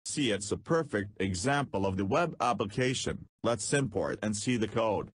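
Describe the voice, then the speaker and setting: Low husky man narrating a coding demo. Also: expressive, normal speed, no room sound. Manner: terse, step-by-step instructions with non-native phrasing. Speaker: programming tutor. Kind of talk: screen-recorded coding tutorial narration.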